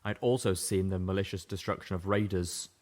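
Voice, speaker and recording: A man narrating a fan-fiction audiobook with a steady, even-paced reading voice. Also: sits low, a little fast. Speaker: audiobook reader. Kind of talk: scripted story narration.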